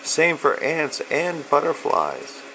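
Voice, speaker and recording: A man, an author, presenting and reading a children's storybook in deliberate, measured phrases. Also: on the high side, big pitch swings, bright, forceful tone, slight background noise, poor audio. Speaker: author and illustrator reading own children's book. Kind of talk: read-aloud storytelling of rhyming children's book.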